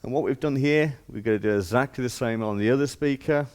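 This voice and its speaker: A man's voice: medium pitch, quick pace, darkish tone. A man giving an informal hands-on demonstration as a shop presenter, explaining step by step in plain, casual words.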